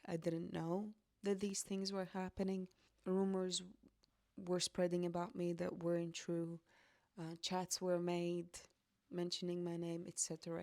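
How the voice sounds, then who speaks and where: Young woman from latvia, talks slow and thoughtful, lowish voice, dry close sound. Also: dark tone, no room sound. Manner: slow, reflective and earnest. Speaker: podcast host. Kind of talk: personal storytelling monologue.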